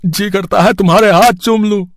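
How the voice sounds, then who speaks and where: A man voicing a gentle, hopeful village husband and father in a cartoon moral story. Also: high-pitched, fairly even intonation, normal speed, no room sound, studio quality. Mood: delighted.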